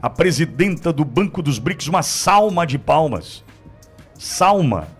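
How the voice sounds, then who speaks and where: A man's voice: a little high, lively intonation, measured pace, husky, faint music behind. A man with a Brazilian accent hosting a political talk show, commenting in a sarcastic, mocking way full of rhetorical questions. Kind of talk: opinionated political commentary, conversational.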